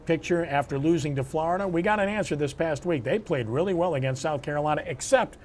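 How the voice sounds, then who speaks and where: A man's voice: on the high side, fast talker, a little hiss or hum. A man hosting a sports talk show, delivering commentary and discussion like an anchor. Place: on location at a restaurant.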